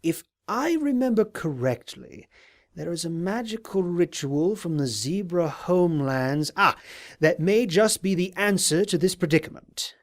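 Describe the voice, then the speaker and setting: A man voicing a scheming, falsely sympathetic unicorn advisor in an audio drama, speaking in a formal, deliberate, courtly manner. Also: sits high, expressive. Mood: calculating. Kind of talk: dramatized audio fiction reading.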